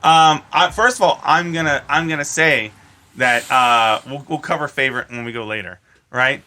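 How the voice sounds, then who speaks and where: A man's voice: mid-range voice, normal speed, pushed and bright. A man co-hosting a podcast in casual, opinionated chat, swearing freely as he goes. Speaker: podcast co-host.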